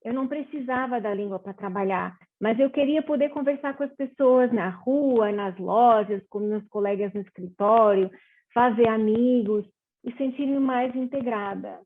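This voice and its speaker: A woman from Portugal speaks with a Portuguese accent that carries Brazilian tones. Her voice sits a little high, and she talks in an earnest, measured way with small pauses. The sound comes over a thin, phone-like video call line. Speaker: panel moderator. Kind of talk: reflective panel talk.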